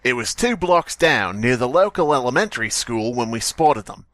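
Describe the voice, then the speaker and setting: Guy reading a story on a comedy podcast, swings pitch around a lot, dry close sound, sweary and jokey. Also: expressive, normal speed, fairly bright tone, no room sound. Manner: jokey, sweary, breaks off to mock the text. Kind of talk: comic read-aloud with casual riffing.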